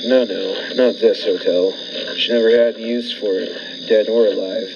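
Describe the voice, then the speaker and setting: Man acting a deep-voiced deranged husband, noisy lo-fi. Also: fairly even intonation, normal speed. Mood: calmly sinister. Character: menacing, deranged murderous husband in a thriller. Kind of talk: 1940s radio thriller acting. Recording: dull lo-fi radio-play audio, clear noise.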